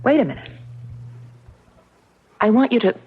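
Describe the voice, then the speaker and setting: A woman's voice: fairly high pitch, very animated, normal speed, breathy edge. An actress playing a headstrong, imaginative woman awed by mystery in a radio drama, speaking in earnest, hesitant phrases. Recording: dull, muffled old radio recording.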